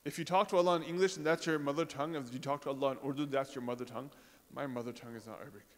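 A man giving an informal sermon to a congregation, talking in a conversational way with pauses, fillers and rhetorical questions. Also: mid-range voice, steady tone. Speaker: religious speaker. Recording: reverberant hall.

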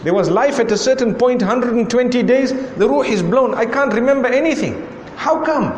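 Zimbabwean guy giving a sermon, fairly high voice, keeps asking why and how come, hall echo all around. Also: lively intonation, normal speed, a little mellow, a little hiss or hum. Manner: rhetorical questioning, repeating "why" and "how come". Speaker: preacher.

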